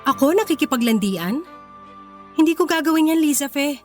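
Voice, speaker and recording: A proud, wounded young woman in a radio drama. Her high voice is earnest and emotional, holding on to her dignity over a light music bed. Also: lively intonation, normal speed, a little mellow. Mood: defiant. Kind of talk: Tagalog radio drama acting.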